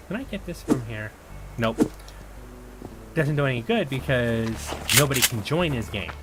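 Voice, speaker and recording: A man talking his viewers through a game in a rambling, easygoing way, his voice worn and starting to go. His pitch sits in an ordinary middle range. A steady hiss of noise and mouse clicks runs under the recording. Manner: rambling, chatty, talks to viewers while playing. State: losing his voice. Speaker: gaming video host. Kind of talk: casual gameplay commentary.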